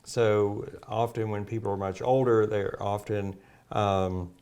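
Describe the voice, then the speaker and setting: A man's voice: on the low side, level tone, unhurried, darkish tone, smooth. A man speaking as a naturopath guest, explaining at length with many fillers and restarts. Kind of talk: casual health talk.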